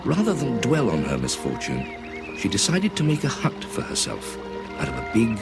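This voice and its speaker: A man narrating a fairy tale as a scripted storyteller, with expressive delivery. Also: on the low side, moderate pace, over loud music.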